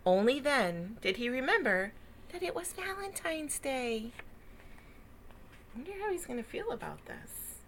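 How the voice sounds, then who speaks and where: Lady doing storytime for kids, really swoopy expressive voice, bright and dramatic. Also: high-pitched, unhurried, pauses often, a little hiss or hum. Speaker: storyteller reading a picture book aloud to children. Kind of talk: animated read-aloud narration with asides.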